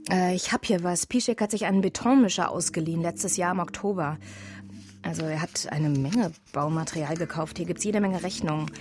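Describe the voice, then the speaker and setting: Radio crime drama: actress as female investigator, lower voice, businesslike reporting of findings. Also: brisk, darkish tone, natural voice. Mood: businesslike, concentrated.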